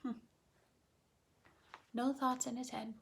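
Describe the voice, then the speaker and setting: A woman reading a children's story aloud dramatically, stretching key words and dropping into hushed, chatty asides. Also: a little high, level tone, slow pace, creaky.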